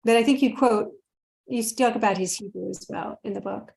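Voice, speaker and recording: A woman's voice: on the high side, moderate pace, creaky, darkish tone, rough recording. Chatty woman editor on a call. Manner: chatty, adds remarks and follow-ups. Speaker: magazine editor, co-host. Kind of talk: conversational interview. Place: home room. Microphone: video call.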